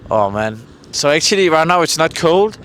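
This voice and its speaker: A man's voice: fairly high pitch, very animated, moderate pace, fairly bright tone. Guy rambling to camera outside, stops to ask people stuff, bit of wind and traffic noise. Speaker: vlogger, street interviewer. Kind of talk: casual chat to camera, questioning passers-by. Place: outdoors by a road in a mountain valley. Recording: windy, noisy outdoor roadside.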